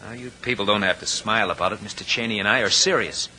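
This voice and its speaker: A man's voice: on the low side, fast talker, bright, forceful tone. A man playing an eager, insistent young college-educated swindler in a radio drama, slick in manner, on an old recording. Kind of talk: 1940s-50s radio drama acting. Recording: noisy vintage radio recording.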